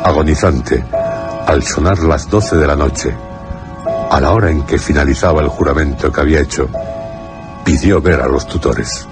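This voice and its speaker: A man reading scripted horror narration for a radio drama in a solemn, measured way. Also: rumbling low. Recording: radio drama with music behind.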